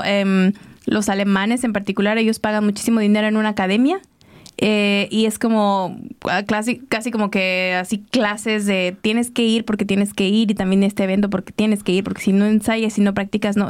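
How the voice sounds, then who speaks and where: A woman hosting a conversational radio interview in an enthusiastic, affirming manner. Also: mid-range voice.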